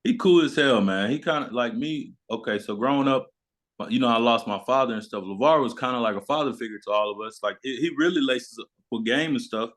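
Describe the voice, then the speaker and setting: A man's voice: mid-range voice, a little fast, a bit bright. A young man from Memphis, telling stories as an interview guest in informal, slangy speech. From born in South Sudan, grew up in Memphis, USA. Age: young adult. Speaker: guest, basketball player. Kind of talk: casual chat, storytelling.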